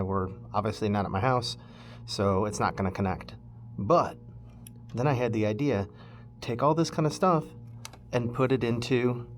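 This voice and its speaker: A man casually walking viewers through a hands-on DIY project, thinking aloud in an informal way as he goes. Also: fairly low pitch. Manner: informal, thinking aloud while handling parts. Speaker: host of a DIY electronics project video.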